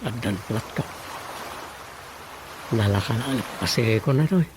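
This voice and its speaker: A man playing a talkative married village councilman in an Ilocano radio drama comedy, thinking aloud and explaining with many exclamations in a gravelly voice. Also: lowish voice, animated, normal speed, noisy background, close to an everyday voice. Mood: musing to himself.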